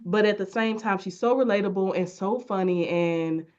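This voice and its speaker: A woman's voice: medium pitch, moderate pace, a little mellow, lo-fi sound. A woman hosting a casual interview on a video call, rambling and self-correcting with plenty of fillers. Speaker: podcast host and business owner.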